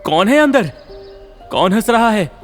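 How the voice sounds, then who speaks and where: Man acting timid village husband, high swooping voice, stammering, hesitant, dramatic, cartoon folk tale. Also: sing-song, measured pace, faint music behind. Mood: nervous. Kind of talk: animated Hindi story dialogue.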